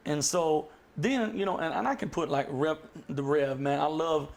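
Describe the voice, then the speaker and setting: A man's voice: fairly high pitch, moderate pace, vocal fry. A man who hosts a jam session and sings, talking casually and emphatically on a podcast. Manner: emphatic storyteller, quotes others in a raised voice. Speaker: jam session host and singer.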